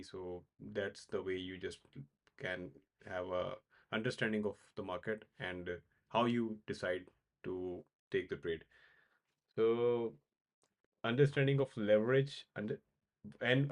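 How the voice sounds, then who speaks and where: Man from Pakistan, low voice, halting casual livestream talk full of "uh" and repeats. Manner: halting, many fillers and repeated words. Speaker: crypto trading livestream host. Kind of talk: casual live-stream chat answering viewers.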